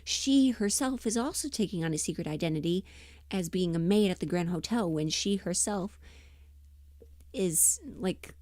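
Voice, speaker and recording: A woman chatting casually and emphatically on a livestream, rambling in an animated, opinionated way. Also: fairly low pitch, normal speed, no echo, studio quality. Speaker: livestream host and voice performer.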